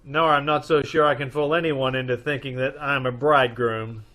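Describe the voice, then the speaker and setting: Man acting a deadpan, wry detective. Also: mid-range voice, normal speed, close to an everyday voice. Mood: wry, reluctant. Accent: American. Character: wry, reluctant, unflappable middle-aged gentleman detective. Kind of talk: old-time radio detective comedy acting.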